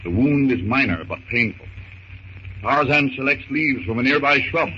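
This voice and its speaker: A man's voice: mid-range voice, moderate pace. A man narrating a 1930s radio serial with emphatic, suspenseful, clipped phrases. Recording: muffled, noisy 1930s radio recording.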